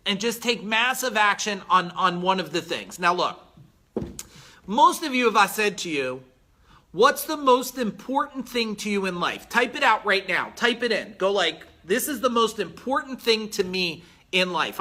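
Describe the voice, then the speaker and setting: Brisk bright-voiced motivational man. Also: high voice, sing-song. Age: 40s. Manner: blunt, emphatic, repetitive. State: clearing throat, coughing. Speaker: business coach. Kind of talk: motivational live stream.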